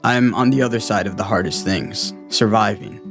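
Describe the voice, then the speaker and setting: A man's voice: on the low side, normal speed, creaky, music in the background. A young American man talking candidly and informally about himself in a reflective personal conversation. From United States, born in Guatemala. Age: young adult. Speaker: podcast co-host telling his own life story.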